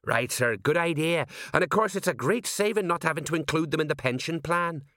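A man's voice: a little high, brisk, pushed and bright, dry recording, studio quality, voice pushed up. A man voicing an eager, deferential police sergeant in an audiobook, obliging and chatty. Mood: eager to agree.

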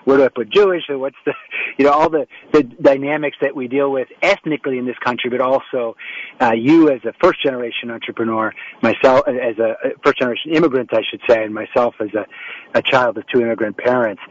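A man's voice: medium pitch, no echo, lo-fi sound. A man hosting an interview show over a remote setup, rambling a little with frequent restarts and fillers as he introduces guests and asks questions. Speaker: talk show host. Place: home setup in New York. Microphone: remote call setup.

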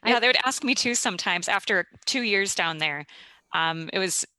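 A woman from Minnesota, a classical singer, speaking thoughtfully in a relaxed interview with some ums. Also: mid-range voice, normal speed, no room sound. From Minnesota, USA. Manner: thoughtful, articulate, with fillers. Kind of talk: casual conversation.

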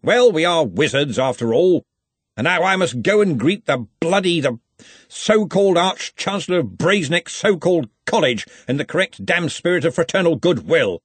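A man's voice: on the high side, big pitch swings, normal speed, breathy edge, dry recording, pitched above the performer's own voice. A man voicing a pompous, commanding older wizard who runs a college, in an audiobook. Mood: blustering, impatient. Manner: blustering, bellowing, commanding. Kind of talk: audiobook character acting.

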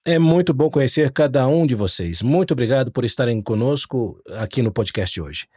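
A male podcast host with a slightly husky voice that moves animatedly up and down. He welcomes his guests at a clear, steady pace. The sound is close and dry. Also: mid-range voice, fairly quick, no room sound. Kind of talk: scripted podcast introduction.

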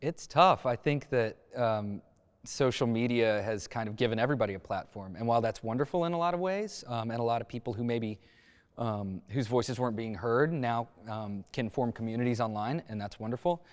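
A man speaking as a journalist on a discussion panel, thoughtful and halting as he searches for words. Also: medium pitch. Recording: echoey hall-like reverb.

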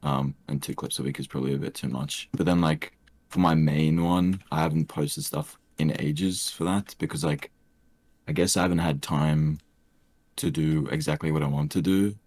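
A young man with a soft, soothing voice, chatting hesitantly as a podcast guest with many fillers. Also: a bass voice, steady tone, normal speed. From Australia. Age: young adult. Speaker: streamer guest. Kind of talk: casual podcast chat.

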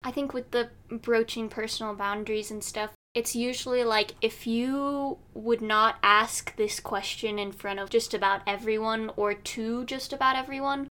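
Bright, youthful-voiced young woman. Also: a little high, fairly even intonation, normal speed, hardly any pauses. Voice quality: youthful, childlike voice. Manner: rambling, heavy use of "like". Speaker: interview guest. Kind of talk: casual conversation.

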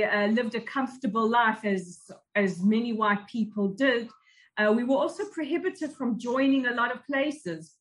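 A woman's voice: on the high side, poor audio. South African woman recalling her youth. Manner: measured, reflective, with frequent restarts. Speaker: guest. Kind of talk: personal recollection in an interview.

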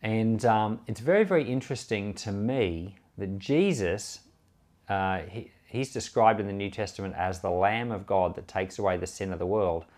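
A man's voice: lowish voice, normal speed, darkish tone. A man speaking as a pastor, giving informal Bible commentary in an earnest, conversational, explanatory way. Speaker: pastor and Bible podcast host.

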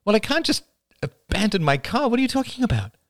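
A man's voice: a little high, big pitch swings, measured pace, pitched above the performer's own voice. A man voicing a frightened, flippant young woman in an audiobook, breathless, shaky and blurting out words. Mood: panicky, incredulous. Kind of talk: audiobook character dialogue.

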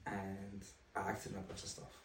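A man's voice: fairly low pitch, monotone, normal speed, a little hiss or hum. A young man from Zimbabwe, a podcast guest telling stories in a chatty way with frequent laughs. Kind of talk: casual chat. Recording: roomy room, distant mic.

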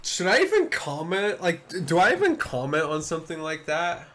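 A man's voice: fairly high pitch, wildly swooping pitch, moderate pace, bright, forceful tone. A man in casual chat on a video call who teases his friend and mostly answers in short interjections. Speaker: podcast co-host.

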